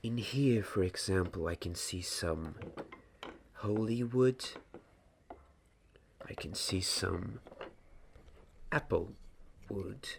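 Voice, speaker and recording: Young wandmaker's apprentice, played by a man, ASMR fantasy roleplay: hushed, deliberate teaching, deep creaky voice, close mic. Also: slight background noise, exaggerated voice. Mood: hushed, instructive. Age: 20s. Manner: slow, hushed, deliberate, sometimes slipping into whisper. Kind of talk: ASMR roleplay, soft-spoken.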